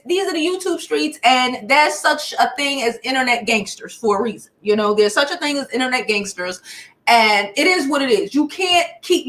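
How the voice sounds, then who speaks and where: An American woman chats to her live viewers in a high-pitched voice, recorded with noticeable room echo. Her delivery is forceful and bright, full of slang. She comes across as a blunt, sassy commentator talking casually through gossip. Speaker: YouTube livestream commentator and host.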